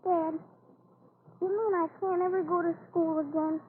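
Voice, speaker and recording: A high-voiced earnest farm boy. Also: fairly even intonation, moderate pace. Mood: subdued, wistful. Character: young farm boy, curious, earnest, brave. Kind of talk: 1940s radio drama acting. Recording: scratchy tinny old radio recording.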